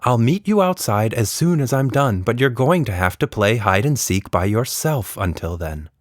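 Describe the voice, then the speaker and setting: A man's voice: mid-range voice, animated, moderate pace, no room sound, clean studio sound, lightly acted. Man as a busy, impatient mother.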